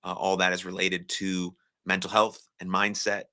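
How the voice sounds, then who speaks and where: Low-voiced American man hosting a live stream. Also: completely flat delivery, normal speed, pushed and bright. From United States. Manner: chatty, encouraging, frequent filler words. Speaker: livestream host. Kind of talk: casual live-stream chat, reading viewer comments.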